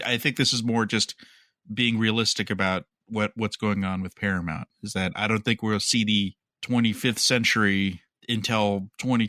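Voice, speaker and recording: A man's voice: lowish voice, pushed and bright, no echo, studio quality. A man co-hosting a podcast, casually talking through news with a wry, skeptical manner. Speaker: podcast co-host.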